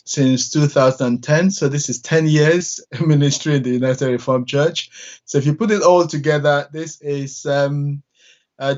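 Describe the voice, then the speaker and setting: A middle-aged man from Nigeria, a church minister, telling his story in an interview with many pauses and fillers. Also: medium pitch, darkish tone, dull audio.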